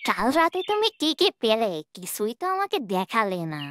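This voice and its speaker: A woman voicing a nagging, scornful village wife in a comic cartoon, expressive and demanding, with noise and birds behind her. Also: on the high side, normal speed. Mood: wheedling.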